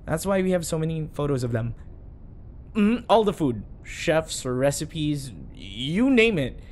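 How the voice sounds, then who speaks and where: A man's voice: fairly high pitch, sing-song, a little slow, a little hiss or hum. A man playing a flustered, obsessively devoted bodyguard in an audio roleplay, earnest and nervously backpedalling. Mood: nervous cover-up. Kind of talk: audio roleplay acting addressed to the listener.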